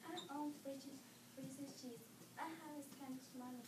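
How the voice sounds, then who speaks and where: Young female reader as gullible lady crow, high-voiced. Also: fairly even intonation, unhurried. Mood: fussy. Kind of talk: readers theatre script reading. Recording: echoey room, far mic, loud noise.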